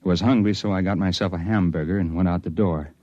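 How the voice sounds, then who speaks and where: A man's voice: deep voice, fairly even intonation, quick pace, no echo, lightly acted. An actor playing a wary hired man caught up in time travel, in 1950s radio drama style. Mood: grim, tense recounting. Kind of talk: 1950s radio drama acting, first-person narration. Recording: muffled 1950s radio recording, loud music.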